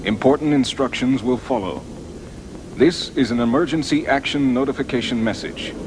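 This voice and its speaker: Heavy noise surrounds an actor as a male emergency announcer with a medium-pitched voice. He reads formally, stern and impersonal, in the manner of an official broadcast drama alert. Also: moderate pace, close to an everyday voice. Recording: very noisy old emergency broadcast.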